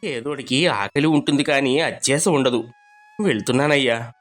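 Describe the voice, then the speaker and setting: A man voicing a poor, humble village laborer in an animated story, earnest and expressive. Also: medium pitch, normal speed, faint music behind, lightly acted. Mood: downcast. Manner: expressive, pleading. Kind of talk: cartoon storybook voice acting.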